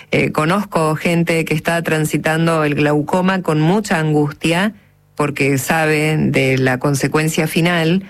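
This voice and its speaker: Woman, radio host, attentive, rather low voice, quick agreements. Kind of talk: interview radio talk.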